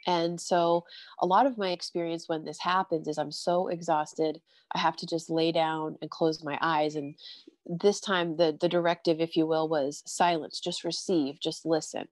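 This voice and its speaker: Woman, stuffy nose, low-ish voice, dry close sound, breezy upbeat chat host, video call. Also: little pitch movement, moderate pace, no echo. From California. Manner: breezy, upbeat, heavy on "you know" and "if you will". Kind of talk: casual spiritual chat.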